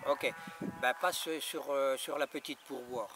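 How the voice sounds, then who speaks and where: Male radio hobbyist, fifties, casual and halting, recorded at a distance in noisy open air. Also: medium pitch, fairly even intonation. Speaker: amateur radio operator. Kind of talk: casual radio check chat. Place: outdoors in a gravel yard by a house. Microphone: camera a few metres away.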